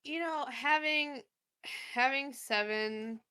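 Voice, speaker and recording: A woman's voice: high voice, sharp tone. A woman playing a tabletop role-playing game who jokes playfully while she talks in character. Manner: playful, joking, unhurried. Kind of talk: in-character casual chat.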